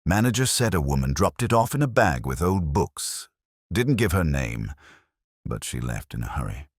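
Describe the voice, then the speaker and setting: A man's voice: rumbling low, big pitch swings, normal speed, breathy edge, dry recording, clean studio sound, full character voice. A man voicing a sheepish, deferential police constable in a detective story, reporting in clipped sentences.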